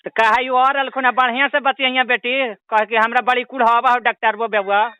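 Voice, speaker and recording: An ailing old villager from a comic prank call, with an extremely high, clear voice and a Bihari accent. The old villager whines and pleads, rambling on to a daughter in a dry, close sound. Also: male voice, little pitch movement, moderate pace, pushed and bright, dry recording, lo-fi sound. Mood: fussing. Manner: pleading, rambling, repeatedly addressing the listener as daughter. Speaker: prank caller.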